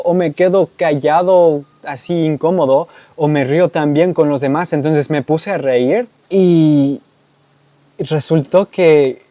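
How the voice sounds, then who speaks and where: A young American man from Texas, a native English speaker, speaking Spanish with an American accent. He answers viewer questions in a relaxed storytelling way, with restarts and soft laughs. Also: a little high, no echo. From United States (Texas). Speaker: language-learning YouTuber. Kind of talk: casual Q&A monologue to camera.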